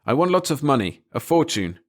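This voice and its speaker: A man's voice: fairly high pitch, big pitch swings, brisk, pitched above the performer's own voice. A man voicing an eager, stubborn inventor who insists on getting his way. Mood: insistent. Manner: insistent and clamoring.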